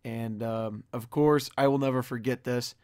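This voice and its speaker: A hoarse young man talking straight to his viewers in a casual, excited vlog intro, loose and full of fillers. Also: medium pitch, normal speed. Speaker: wrestling fan vlogger. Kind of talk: casual direct-to-camera intro.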